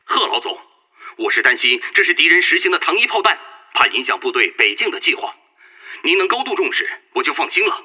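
Earnest military commissar, radio drama, lively pitch, slightly husky, formal declamation. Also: male voice, a little fast, heavily acted. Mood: urgent, respectful. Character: earnest, worried Red Army political commissar. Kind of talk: Chinese radio drama acting. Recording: echoey hall reverb, music underneath.

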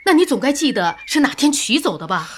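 Female laundry owner, radio drama, high voice, very animated pitch, earnest, emotional. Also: fast talker, husky, full character voice. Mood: polite, defensive. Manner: earnest, emotional, indignant when pressed. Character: hard-working female laundry owner, polite but spirited.

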